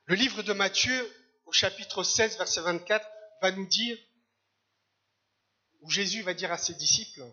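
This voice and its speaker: A man from Algeria preaching a church sermon in short phrases, pausing for an interpreter. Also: high-pitched, sharp tone, lo-fi sound. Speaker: guest preacher. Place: church service.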